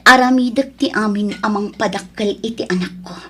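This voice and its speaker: A woman's voice: medium pitch, fairly even intonation, fairly quick, pushed and bright, a little hiss or hum, close to an everyday voice. A woman acting a protective, devoted mother in an Ilocano family drama, with heartfelt delivery. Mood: resolute, hurt.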